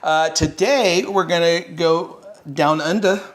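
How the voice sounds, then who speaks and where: A man's voice: fairly high pitch, slow pace. A man hosting a food pairing segment, opening it in a friendly presenter manner. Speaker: segment host. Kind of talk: show intro.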